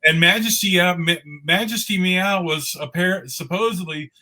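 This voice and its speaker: A man joking casually as a panelist, in a halting way. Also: high-pitched, sharp tone. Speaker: panelist in a script reading.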